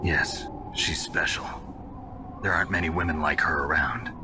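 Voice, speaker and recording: An actor playing a blunt, brooding, world-weary veteran soldier in a video game, speaking tersely. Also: male voice, a bass voice, fairly even intonation, moderate pace, noisy background. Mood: guarded. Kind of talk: video game cutscene acting.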